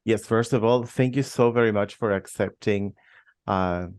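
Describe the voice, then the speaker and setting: Low-voiced male English-teacher interviewer. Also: normal speed, darkish tone, smooth. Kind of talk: casual interview.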